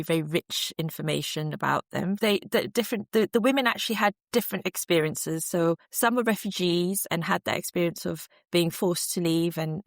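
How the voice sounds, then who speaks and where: Female researcher guest, low-pitched, measured and reflective, dry room. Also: no room sound. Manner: thoughtful, measured, many fillers. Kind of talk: interview answers.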